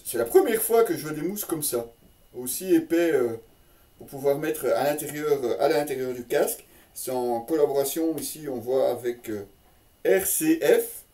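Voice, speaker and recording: A man presents gear in a casual, unscripted way, his voice slightly high-pitched yet very dark and soft-edged. He talks haltingly, repeating words and slipping in tongue-in-cheek remarks. He sounds some distance from the microphone. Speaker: host of a motorcycle gear unboxing channel.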